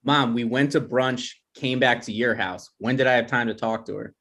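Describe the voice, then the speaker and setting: A man's voice: mid-range voice, steady tone, moderate pace, a bit bright, lightly acted. A man playing an insecure, defensive boyfriend in his twenties in a comedy script table read. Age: young adult.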